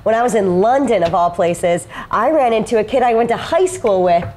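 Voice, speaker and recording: A woman co-hosting a casual morning show, friendly and quick to laugh. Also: mid-range voice, expressive, a little mellow, a little hiss or hum. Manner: friendly, giggly banter. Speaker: co-host.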